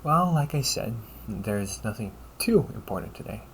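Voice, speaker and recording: A man voicing an upbeat, teasing AI companion in an audio roleplay, polite and fussily helpful, with a gently nagging manner. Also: lowish voice, big pitch swings, normal speed, slight background noise, poor audio. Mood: helpful, chatty. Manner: polite, chirpy, gently nagging, butler-like phrasing. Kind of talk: scripted audio roleplay addressed to the listener.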